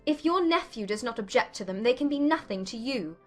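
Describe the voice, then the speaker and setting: Woman playing a high-voiced, defiant young gentlewoman. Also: lively intonation, brisk, soft background music. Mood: resolute. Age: young adult. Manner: composed, articulate, firm. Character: poised, defiant young gentlewoman, composed and witty. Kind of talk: dramatized audiobook acting.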